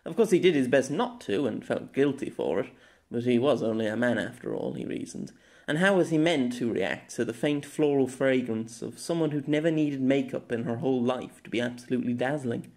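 A man narrating his own fantasy novella as an amateur audiobook, telling it in an expressive storytelling manner. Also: medium pitch, brisk.

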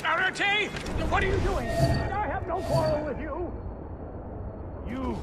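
A man's voice: very high-pitched, lively intonation, a little slow, background noise, heavily acted. An actor playing an indignant, haughty French man crying out in protest in a video game. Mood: alarmed indignation. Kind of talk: dramatic video game acting.

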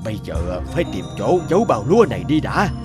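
A man's voice: fairly low pitch, big pitch swings, quick pace, over music. A man playing a grumpy, greedy, selfish beggar in a cartoon folk tale, muttering to himself with hesitant grunts. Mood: selfish, defensive. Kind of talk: cartoon fairy-tale voice acting.

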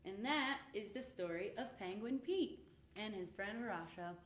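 A young woman tells a children's story with a lively, rising and falling delivery. She sits in a roomy space that adds some echo to her voice. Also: mid-range voice, unhurried. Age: young adult. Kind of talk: children's picture book read-aloud. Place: indoor room with painted rainbow backdrop. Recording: roomy, echoing indoor sound.

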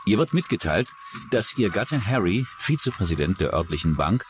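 Low-pitched, dramatically varied male documentary narrator. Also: big pitch swings, normal speed, a little hiss or hum. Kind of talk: scripted true-crime narration.

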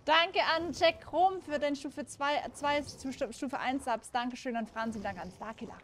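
A woman's voice: sits high, normal speed, a little hiss or hum. A young woman streaming a game from home on a headset mic, chatting playfully with friends and thanking viewers. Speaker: streamer host. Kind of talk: casual gaming stream chat. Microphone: headset and desk mic.

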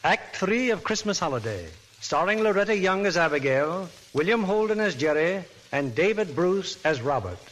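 A man's voice: sits high, animated, normal speed, few pauses, noisy background. A male narrator giving formal, theatrical narration for a 1940s radio drama. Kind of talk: scripted narration. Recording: 1940s radio broadcast with loud music.

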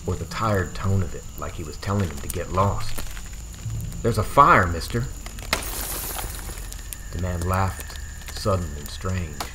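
A man reading a suspenseful novel scene aloud in a measured, dramatic storytelling manner. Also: low voice, noisy background. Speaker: audiobook narrator. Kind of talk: dramatic scripted novel narration. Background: music behind the voice.

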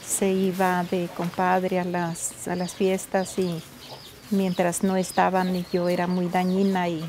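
A woman's voice: lowish voice, darkish tone, slight background noise. An older woman from Colombia, a traditional weaver and midwife, telling her story plainly in a documentary interview.

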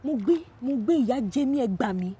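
High, husky, wounded Nigerian girlfriend. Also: expressive, fast talker, mellow, dry recording. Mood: angry, hurt. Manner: insistent, repetitive, pleading. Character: wounded, desperate young Yoruba girlfriend pleading with her lover. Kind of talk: film drama dialogue in Nigerian Pidgin and Yoruba.

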